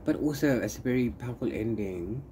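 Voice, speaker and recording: A man's voice: mid-range voice, animated, fairly quick, dark tone. A man chatting casually in a book review vlog, rambling and trailing off into repeated filler phrases. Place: open-sided corrugated-iron shelter outdoors. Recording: clear background noise, open-air ambience.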